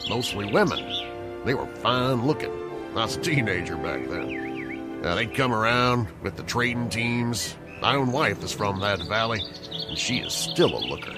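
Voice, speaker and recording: An actor playing a gruff, bitter older villager telling stories in a folksy drawl in a sci-fi audio drama. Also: male voice, mid-range voice. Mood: folksy, rambling. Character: gruff, garrulous older male alien villager, bitter and hard.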